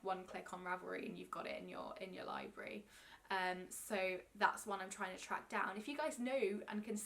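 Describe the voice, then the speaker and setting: A woman's voice: medium pitch, gravelly, bright, forceful tone. A young woman with a cold, a slightly stuffy nose, chatting casually and ramblingly about knitting as a podcast host. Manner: chatty and rambling, many ums, gushing. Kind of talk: casual chat to camera.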